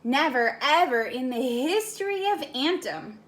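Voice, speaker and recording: A woman's voice: sits high, expressive, a little slow, fairly bright tone. A woman voicing a nervous, rule-bound male sand ant in a storybook read-aloud, urgent and scolding. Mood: anxious.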